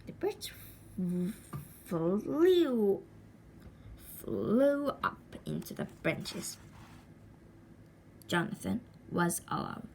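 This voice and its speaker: A woman reading a children's story aloud in an expressive, deliberate way with a sing-song lilt. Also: mid-range voice, creaky, slight background noise. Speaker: storybook reader.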